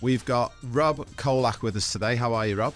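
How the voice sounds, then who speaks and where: A man's voice: mid-range voice, normal speed, faint music behind. A man hosting a podcast interview, chatty and enthusiastic, introducing his guest and asking questions. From Europe. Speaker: podcast host.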